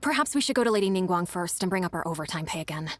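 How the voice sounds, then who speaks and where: A woman's voice: lowish voice, animated, racing, a little hiss or hum, natural voice. A woman playing an overworked, aggrieved young female secretary in a video game. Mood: frustrated, weary.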